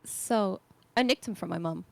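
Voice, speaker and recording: A woman's voice: mid-range voice, normal speed, vocal fry. A young woman from Belgium with a Flemish accent, chatting as a podcast guest, explaining patiently and laughing often. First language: Dutch. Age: 20s. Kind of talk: casual chat.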